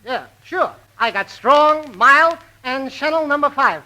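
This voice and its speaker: An actor playing a rambling, overfamiliar oddball fellow in a 1940s radio comedy. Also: male voice, extremely high pitch, lively intonation, a little slow, pushed and bright, full character voice. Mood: hawking. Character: slow, chummy, oblivious oddball hot-dog vendor and drunk. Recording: noisy 1940s live radio broadcast.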